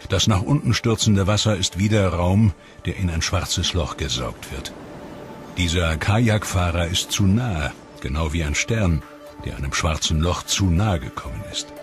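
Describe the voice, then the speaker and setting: A man with a low voice narrates a science documentary in a measured, ominous tone. He speaks as a scripted studio voice-over. Loud music runs beneath his lines.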